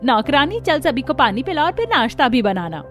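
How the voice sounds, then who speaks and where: A woman voicing a cruel stepmother in an animated family melodrama, scolding and taunting. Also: high voice, fairly quick, soft background music. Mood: curt, contemptuous. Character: cruel, scornful stepmother bullying her stepdaughter.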